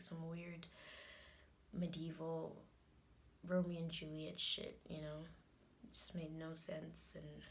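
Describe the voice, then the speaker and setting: A woman performing a dramatic monologue as a guarded, hot-headed grieving daughter, talking colloquially and haltingly, with repeated phrases. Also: sits low, creaky, heavily acted. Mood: guarded, wry.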